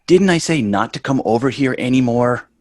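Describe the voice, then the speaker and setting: An exasperated, sarcastic schoolboy from a sitcom, read by a man in a medium-pitched voice. He bosses people about with dry wisecracks, and the sound is close and dry. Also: lively intonation, moderate pace, darkish tone, no echo, natural voice. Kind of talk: live sitcom table read.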